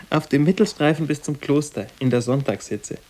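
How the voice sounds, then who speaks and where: A man's voice: fairly high pitch, moderate pace, warm tone, close to an everyday voice. An actor playing a sarcastic, blasé teenage boarding-school boy in a radio drama, talking in a dry, offhand way. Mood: blasé sarcasm. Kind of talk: literary German radio drama dialogue.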